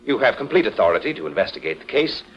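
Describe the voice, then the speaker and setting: An actor playing a formal, skeptical husband in a 1940s radio drama, with a stiff, questioning manner. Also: male voice, fairly low pitch, sing-song, quick pace. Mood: puzzled, stiff. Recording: muffled 1940s radio recording.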